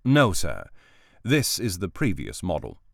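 A man's voice: sits low, wildly swooping pitch, measured pace, gravelly, no echo, clean studio sound, heavily acted. A man voicing a dutiful male personal assistant who speaks formally and politely. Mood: deferential.

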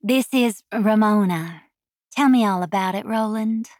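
A seductive Southern woman of the phone lines, acted out by a female audiobook narrator, purrs in a throaty voice. She drawls slowly and flirtatiously, close and dry. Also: mid-range voice, a little mellow, no echo, close to an everyday voice. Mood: seductive, purring. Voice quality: throaty, purring.

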